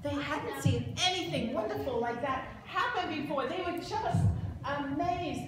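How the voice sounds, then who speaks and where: A middle-aged woman, a church storyteller narrating in an animated way for children. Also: sits high, a little slow. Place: large church sanctuary. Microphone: handheld microphone. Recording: echoey church, noisy room.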